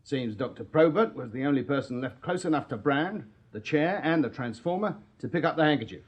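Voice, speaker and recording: An actor playing a shrewd Victorian police sergeant in a British radio drama, English-accented, methodical and formally polite. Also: male voice, on the high side, expressive, a little fast, muffled recording, close to an everyday voice. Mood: firm, probing.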